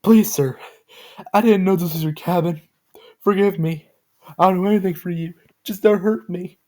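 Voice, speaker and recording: A man voicing a frightened young girl who pleads in a high, shrill voice. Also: fairly even intonation, moderate pace, a little mellow, close to an everyday voice. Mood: begging.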